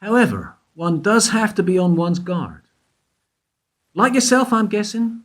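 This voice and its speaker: A man playing a smug, probing investigator in a radio play, pressing with leading questions and pointed pauses. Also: high-pitched, sing-song, heavily acted. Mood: smug, suspicious. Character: probing, self-satisfied male investigator questioning a suspect.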